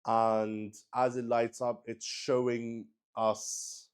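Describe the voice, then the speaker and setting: Slow, halting man running a solo roleplay. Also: medium pitch, level tone, clear, resonant tone. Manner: halting, thinking aloud, repeats phrases. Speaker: game master and solo player, show host. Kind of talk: improvised tabletop roleplay narration on a stream.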